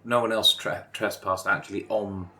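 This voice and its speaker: A man playing an eccentric, deadpan adventurer in improvised roleplay, with a hesitant delivery. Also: lowish voice, normal speed.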